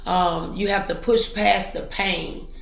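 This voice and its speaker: Lady host talking real slow, encouraging like a preacher, echoey room w faint music. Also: mid-range voice, steady tone, vocal fry. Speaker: talk show host. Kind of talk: motivational interview.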